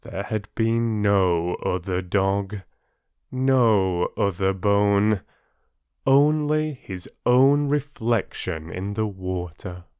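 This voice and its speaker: ゆったりと語る、低めの声の男性朗読者. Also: male voice, lowish voice, drawn-out words, darkish tone, clean tone, dry recording, clean studio sound. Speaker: storyteller and channel host. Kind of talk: expressive audiobook narration and listener address.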